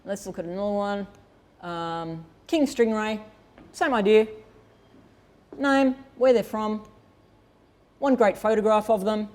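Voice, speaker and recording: A woman's voice: mid-range voice, takes it slowly, long pauses, a little mellow. A woman presenting a workshop in an informal, conversational way, explaining and thinking aloud as she demonstrates. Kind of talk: informal instructional talk to attendees.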